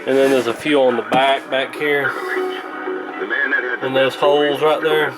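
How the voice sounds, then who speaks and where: A man's voice: mid-range voice, steady tone, a little slow, over loud music. A man giving a casual, unscripted repair demonstration in a folksy, rambling, hesitant way. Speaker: host of a vintage radio repair channel.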